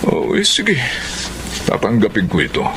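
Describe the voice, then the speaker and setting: Low, swooping, chatty Filipino man. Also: huge pitch swings, moderate pace, noisy background. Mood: friendly. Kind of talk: radio drama acting.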